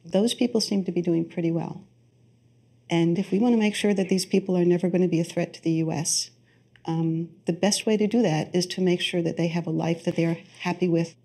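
Gravelly, low-voiced middle-aged woman. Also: steady tone, fairly quick, mellow. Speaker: interviewee, expert. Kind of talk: interview.

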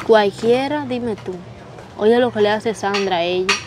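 A woman's voice: medium pitch, unhurried, noisy background, close to an everyday voice. A woman playing a sighing, sympathetic friend. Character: sympathetic gossiping young female friend. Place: outdoors, rural yard by a hammock.

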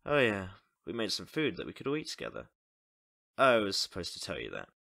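A man's voice: low-pitched, huge pitch swings, fairly quick, vocal fry, pushed and bright, full character voice. An actor playing an easygoing, loyal young guy in casual, naturalistic audio drama dialogue. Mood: laid-back.

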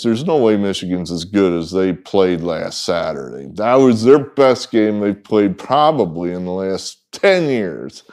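Rambling folksy man with a smooth low voice. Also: mellow. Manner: rambling, folksy, frequent ums and trailing asides. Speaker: sports betting picks host. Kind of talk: casual off-the-cuff talk to camera. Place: indoor room with wood-paneled walls, in front of a whiteboard.

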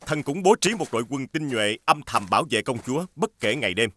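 A man playing a dutiful, concerned royal servant in an animated fairy tale, speaking formally and with deference. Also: medium pitch, brisk.

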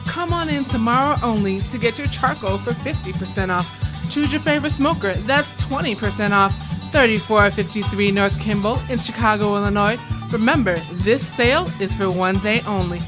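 A woman's voice: medium pitch, moderate pace. A woman delivering scripted commercial voice-over narration, as on an advertising reel or radio spot. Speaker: voice-over artist and radio host. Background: music bed behind the voice.

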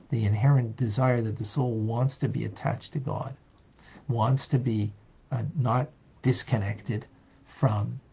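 An older rabbi teaches a class over a video call, his voice gravelly and husky. He talks in a searching, reflective way, full of restarts and fillers, and the webcam audio sounds a little dull. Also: lowish voice, darkish tone. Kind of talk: interactive online Torah class. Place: office with desk.

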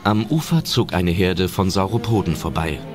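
A man's voice: deep voice, very animated, normal speed. A man reading scripted audio drama narration as a storyteller. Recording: narration over loud film music.

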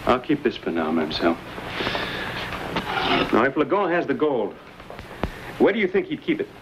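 An actor playing a brash, plain-spoken frontier fur trader with a conscience in an old western. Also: male voice, mid-range voice, big pitch swings, normal speed. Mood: determined. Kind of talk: 1950s western screen acting. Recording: noisy old TV western soundtrack.

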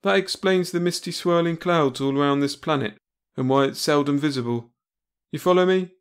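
Guy doing eager brash space hero, kinda high voice, declaiming, silly audiobook. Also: normal speed, clear, resonant tone, lightly acted. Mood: curious. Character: earnest, brash space-traveling man named Brad.

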